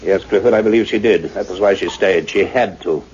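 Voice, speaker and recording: An actor playing an earnest, commanding scientist in a radio play, deliberate and urgent in his questioning. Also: deep voice, a little mellow, lightly acted. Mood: earnest, authoritative. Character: earnest, commanding male scientist doctor, solemn and probing. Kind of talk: radio play acting and narration. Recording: muffled, noisy radio play recording.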